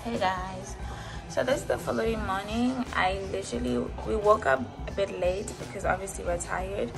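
A woman's voice: mid-range voice, moderate pace, vocal fry, over music, poor audio. A young woman chatting casually on her travel vlog, rambling with fillers, filmed on her phone in a hotel villa. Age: young adult. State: slightly stuffy with a flu. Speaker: vlogger. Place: luxury hotel villa, indoors. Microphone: phone held in mirror selfie.

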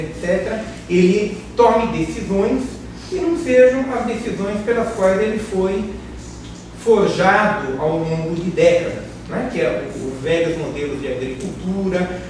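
A middle-aged Brazilian man giving an academic lecture in a large bare classroom, speaking in a measured, thoughtful way with hesitant restarts and a frequent questioning tag. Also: a little high. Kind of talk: academic lecture to course participants. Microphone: far camera, no mic. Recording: echoey room from far off, noisy.

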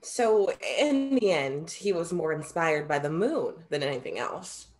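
A woman's voice: low voice, expressive, measured pace. A young woman playing a proud, playful Greek muse with theatrical, formal wording in a play reading. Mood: assertive. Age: young adult. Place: indoor room, video call.

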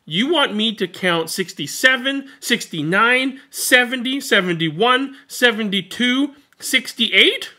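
A man's voice: very high voice, expressive, takes it slowly, hardly any pauses, sharp tone, voice pushed up, heavily acted. A man voicing an exasperated young boy in a bedtime storybook, emphatic and impatient. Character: exasperated young boy trying to fall asleep.

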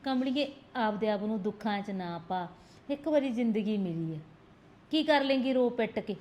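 An actress playing a blunt, protective young sister-in-law in a village family drama, scolding and insisting. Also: female voice, a little high, expressive, normal speed, darkish tone, lo-fi sound, close to an everyday voice. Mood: firm comforting. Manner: scolding, insistent, repeats demands for attention.

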